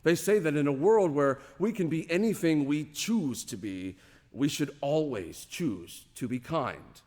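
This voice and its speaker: A man's voice: on the high side, animated, darkish tone. A man hosting a live variety radio show in a theatrical, jokey, announcer-like manner. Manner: showy, jokey, drawn-out announcing. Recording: long hall reverb.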